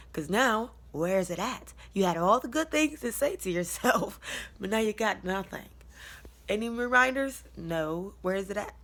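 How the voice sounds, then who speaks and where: A young American woman with a low, deep voice. She talks casually and candidly, as if telling friends a personal story on her vlog. Her delivery is colloquial and loosely rambling. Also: a bit bright. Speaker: vlogger sharing a personal testimony. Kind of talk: casual confessional storytelling to camera.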